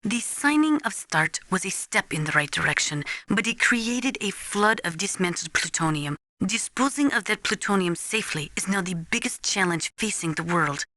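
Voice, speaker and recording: Game casting: earnest female weapons expert advising a soldier, crisp forward tone, measured lecturing delivery, very dry studio sound. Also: mid-range voice, expressive, bright, forceful tone, dry recording. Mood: measured, informative. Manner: measured, precise, didactic. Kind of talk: scripted expository video game voice acting.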